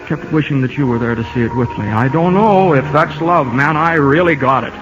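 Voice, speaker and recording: An actor playing an earnest, easygoing young country ballplayer in a radio drama, halting and folksy in his talk. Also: on the high side, close to an everyday voice. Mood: earnest, troubled. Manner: halting, folksy, repeats himself. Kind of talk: 1950s radio drama acting. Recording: muffled 1950 radio broadcast, music behind.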